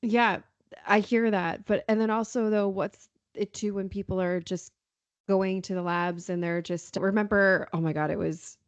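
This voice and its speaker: A woman's voice: mid-range voice, fairly even intonation, moderate pace, dry recording. A woman hosting a podcast interview in casual, loosely phrased chat. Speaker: podcast host.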